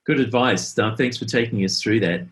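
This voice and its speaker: A man's voice: fairly low pitch, moderate pace, fairly bright tone. A man acting as interviewer and host, speaking conversationally to a guest.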